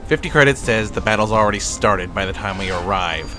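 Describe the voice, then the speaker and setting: A man's voice: fairly low pitch, lively intonation, a little fast, lightly acted. A man playing a cocky, wisecracking fighter pilot with a dry, teasing, casual manner in a sci-fi audio drama. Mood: wry, teasing. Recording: loud music behind voice.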